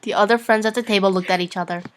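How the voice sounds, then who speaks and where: A woman's voice: mid-range voice, fairly even intonation, rapid-fire, a little hiss or hum. A woman narrating fan fiction in an informal, amateur reading-aloud style. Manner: giggly, informal.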